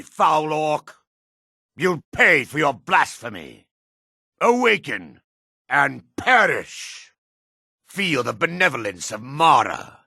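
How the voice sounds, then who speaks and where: Forceful, raspy dark elf fighter. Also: male voice, mid-range voice, dry recording, clean studio sound, exaggerated voice. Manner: dramatic, declamatory. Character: fierce, righteous male dark elf fighter. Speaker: video game voice actor. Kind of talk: fantasy game combat lines.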